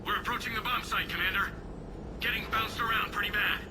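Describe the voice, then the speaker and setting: High-voiced, earnest alien lieutenant played by a man. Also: fairly even intonation, brisk, close to an everyday voice. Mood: tense, dutiful. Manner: formal military reporting. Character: earnest alien male soldier, dutiful lieutenant. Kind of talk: video game cutscene acting. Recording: clear vehicle noise behind the voice.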